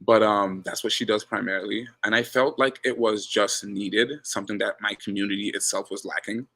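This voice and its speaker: A man's voice: fairly low pitch, a little fast. A man from Ghana, a basketball coach, talking casually as a guest, repeating words as he goes. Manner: relaxed, repeats words, says he has a loud voice. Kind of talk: casual interview chat.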